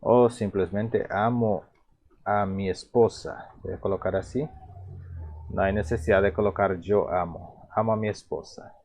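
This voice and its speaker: A man from Paraguay with a Paraguayan Spanish accent and a Guarani mother tongue, teaching phrases in a relaxed, halting way with many repeats and restarts. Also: fairly low pitch. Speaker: language teacher. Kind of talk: explaining vocabulary in a video lesson.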